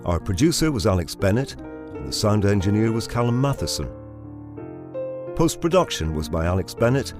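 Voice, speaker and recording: A male announcer with a fairly low voice and lively intonation. He reads scripted credits while piano music plays clearly behind him. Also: moderate pace.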